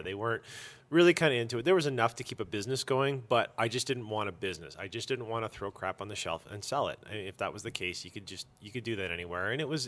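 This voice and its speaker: A man with a fairly low, bright voice talks as a guest in an interview. He tells his stories in an informal, animated way, with a self-deprecating streak. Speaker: interview guest, comic store owner. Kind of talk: casual storytelling interview.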